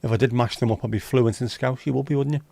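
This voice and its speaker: A man's voice: mid-range voice, steady tone, quick pace, creaky, warm tone, no echo. A man hosting a casual livestream, reading out chat messages and teasing viewers with jokey, lightly sweary banter. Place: home livestream setup.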